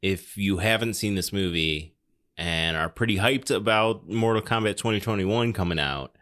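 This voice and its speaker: Male podcast host, lowish bright voice, emphatic and wry, casual movie chat. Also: moderate pace. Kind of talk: casual film discussion chat.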